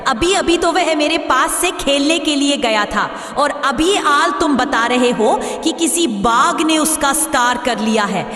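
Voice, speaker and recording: A very high, distant voice: a woman playing a protective animal mother in a jungle cartoon. She speaks with strong emotion and emphasis, and the sound carries long reverb. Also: animated, measured pace, hardly any pauses, fairly bright tone, soft background music. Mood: grief-stricken. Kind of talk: animated animal story dialogue. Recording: echoey reverb, distant from mic.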